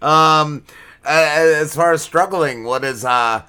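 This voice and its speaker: A man hosting a podcast interview, asking questions in a lively, chatty way. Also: on the high side, slow pace, clean tone. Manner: lively, chatty, many fillers and restarts. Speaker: podcast host. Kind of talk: casual interview.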